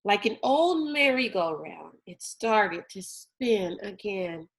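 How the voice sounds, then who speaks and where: A woman narrating a children's novel aloud in a deliberate, expressive storytelling manner. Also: mid-range voice.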